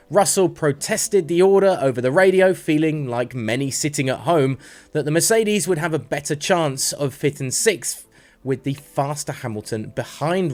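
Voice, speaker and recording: A male sports news presenter delivers scripted motorsport narration. His voice sits slightly higher than average for a man, and he reads as a presenter reporting the news. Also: a little mellow.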